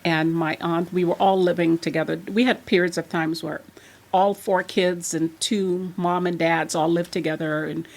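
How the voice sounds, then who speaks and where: A woman's voice: on the low side, moderate pace. A woman in her sixties from Trinidad and Tobago, chatting as a podcast guest and telling stories from her childhood. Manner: chatty and animated, laughs while telling stories. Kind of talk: casual storytelling chat.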